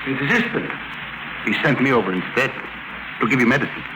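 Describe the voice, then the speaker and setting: Casting: man as sinister fake medical officer for radio thriller, fast, officious delivery, noisy vintage recording. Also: medium pitch, natural voice. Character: menacing villain man posing as doctor's assistant. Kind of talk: 1950s radio drama acting.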